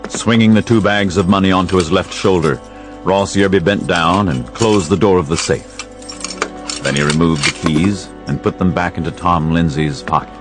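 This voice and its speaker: A man narrating an audio drama in a measured storytelling manner, with music behind. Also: deep voice.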